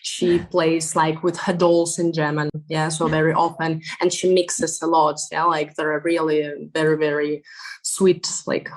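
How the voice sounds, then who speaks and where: A woman's voice: low-pitched, little pitch movement, measured pace, poor audio. A woman in her thirties from Belarus with a Belarusian accent and Russian as her first language, telling her story as an interview guest, full of fillers and laughs. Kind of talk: conversational interview.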